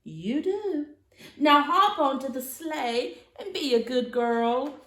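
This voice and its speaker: Grand storybook aunt, acted by a woman, high voice, theatrical, affectionate, commanding, echoey room. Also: very animated, slow, fairly bright tone, full character voice. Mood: assured. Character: Auntie Claus, fond, grand, assured aunt. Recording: roomy, echoey sound.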